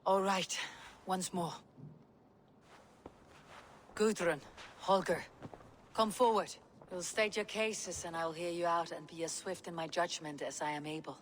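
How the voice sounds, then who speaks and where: A woman playing a calm, terse Viking leader. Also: on the low side, slight background noise, lightly acted. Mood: measured. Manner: terse, measured.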